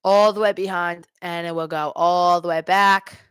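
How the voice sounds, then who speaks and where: A woman's voice: on the low side, steady tone, moderate pace, a bit bright, smooth, no echo. A woman hosting a live hockey watch party, giving amateur play-by-play mixed with exasperated fan asides.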